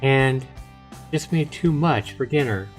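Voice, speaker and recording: A man voicing a caring young woman in a visual novel, gentle and coaxing. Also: mid-range voice, takes it slowly, faint music behind, natural voice.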